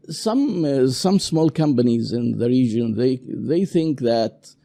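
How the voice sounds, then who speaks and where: A man with an Arabic accent interviewing an author, speaking in English slowly with many pauses and fillers. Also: mid-range voice, animated, vocal fry, dark tone. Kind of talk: interview questions.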